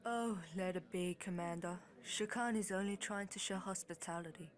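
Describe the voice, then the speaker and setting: A woman doing a soft, gracious young noblewoman. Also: lowish voice, normal speed, lightly acted. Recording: crowd noise behind.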